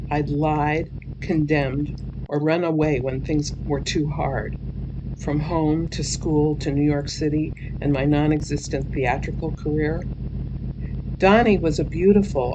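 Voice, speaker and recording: A woman's voice: deep voice, dull audio, noisy background. A woman author narrating her own humorous story dramatically, with theatrical expression.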